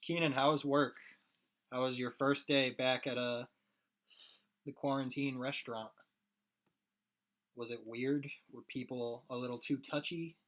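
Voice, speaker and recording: A hoarse young man rambling casually to his viewers while he draws on a live stream. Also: medium pitch, fairly even intonation, unhurried, long pauses, a bit bright. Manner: rambling, chatty, talks to chat viewers. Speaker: streaming artist.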